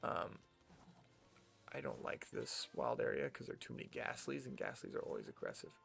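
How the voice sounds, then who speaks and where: A man's voice: medium pitch, wildly swooping pitch, moderate pace, gravelly, fairly bright tone, faint music behind. A young man with a General American accent, rambling casually to himself while playing a video game. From United States. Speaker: streamer. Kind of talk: casual gameplay commentary.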